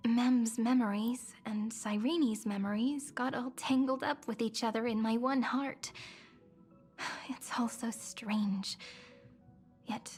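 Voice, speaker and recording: A woman voicing a gentle, wistful young woman in a game, speaking softly in a breathy, half-whispered way. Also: a little high. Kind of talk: game voice acting.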